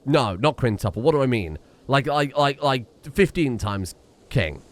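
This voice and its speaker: A young man giving casual, excitable commentary on a strategy game, often exclaiming and restarting his sentences. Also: mid-range voice, normal speed. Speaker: gaming streamer. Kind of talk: casual let's-play commentary.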